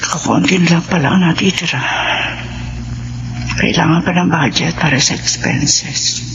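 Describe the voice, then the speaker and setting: A woman's voice: low voice, moderate pace. An actress playing a devoted, struggling middle-aged mother in a Filipino radio drama, speaking tenderly. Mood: tired, caring. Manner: tender, expressive. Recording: muffled, noisy radio drama broadcast.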